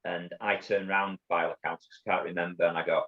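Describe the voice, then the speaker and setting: A middle-aged man with a Yorkshire accent, telling his story as an interview guest in a halting way, with many restarts and repeated words. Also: low voice, little pitch movement. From Bradford, England. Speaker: guest, former undercover police officer. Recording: dull lo-fi audio.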